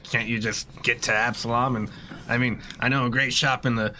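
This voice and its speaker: A man's voice: medium pitch, little pitch movement, brisk, bright, forceful tone, slight background noise. A man playing a tabletop roleplaying game, chatting casually and a little hesitantly in character.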